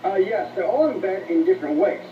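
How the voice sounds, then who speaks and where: A man answering as an interview guest, earnest and somewhat halting, heard through radio playback. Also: high-pitched, recorded from a distance, slight background noise. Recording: dull, roomy radio playback from off mic.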